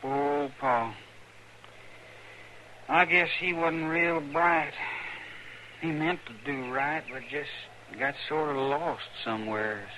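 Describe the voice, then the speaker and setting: An actor playing a simple, troubled young frontiersman with a rural American drawl, halting and plain-spoken, in a radio western. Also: fairly high pitch, sharp tone, low-quality recording. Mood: remorseful. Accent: rural American West drawl. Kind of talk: old-time radio western acting. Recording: old radio recording with faint hiss.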